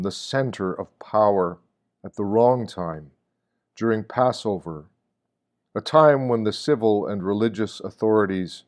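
Meditation reader: man with a fairly low voice, deliberate and measured. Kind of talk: scripted reading of a reflective meditation.

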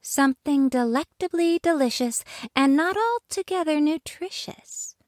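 Woman playing a clever little girl, sweet and slow, high bright voice, lively, dry. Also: dry recording. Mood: coy. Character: clever young girl, coolly polite.

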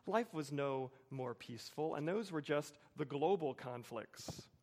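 Male American preacher, forties, higher-pitched, anecdotal sermon style, deliberate phrasing with repetition for emphasis. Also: lively intonation, darkish tone. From United States, suburban Wilmington. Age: 40s.

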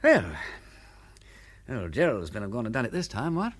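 A man playing a flippant upper-class English gentleman detective in a radio drama, with a jaunty, slangy drawl. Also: mid-range voice, wildly swooping pitch, normal speed. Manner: jaunty drawl full of old-fashioned slang.

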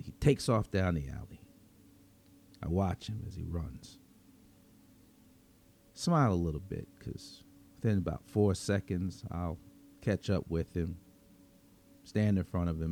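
Male actor as sardonic vampire vigilante, low-pitched, unhurried, slangy, menacing, dramatic pauses, audio story. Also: creaky, warm tone, full character voice. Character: cynical vigilante vampire from Brooklyn, wry and menacing. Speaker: story narrator. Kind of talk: first-person dramatic story narration.

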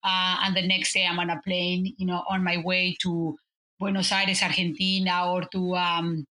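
A bright, clear-toned woman in her fifties with a Mexican Spanish accent, her first language being Spanish. She tells her story warmly and loosely, pausing on "uh" and "um" and restarting her phrases as she goes. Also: on the low side, level tone. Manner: warm, storytelling, many fillers and restarts. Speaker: guest, business consultant. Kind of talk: conversational interview.

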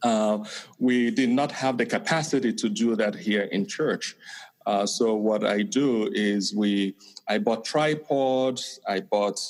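A man from Nigeria with a Nigerian accent, a priest and counseling professor answering interview questions in a measured way with many pauses. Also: on the low side. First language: Igbo. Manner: measured, many pauses and fillers, light laughs.

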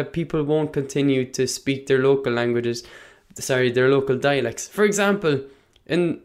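Irish man musing with many fillers. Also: medium pitch. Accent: Irish, Munster. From Ireland. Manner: thoughtful, with frequent "uh" fillers and self-corrections. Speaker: Irish language teacher. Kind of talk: casual spoken lesson, talking to the listener.

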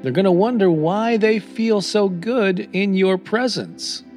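A man reading scripted motivational affirmations as a podcast host, in a deliberate, encouraging manner. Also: high voice, a little mellow. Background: new-age music.

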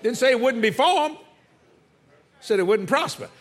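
A man's voice: very high voice, lively intonation, normal speed. A man preaching a sermon to a church congregation, firing rhetorical questions and calling for answers.

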